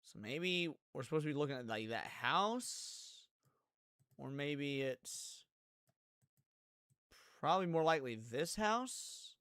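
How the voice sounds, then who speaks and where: A man's voice: fairly high pitch, animated, takes it slowly, pauses often, a bit bright, clear, resonant tone, no room sound, clean studio sound. A man giving casual live reaction commentary to viewers, thinking aloud in an informal way. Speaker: streamer reacting to a video series.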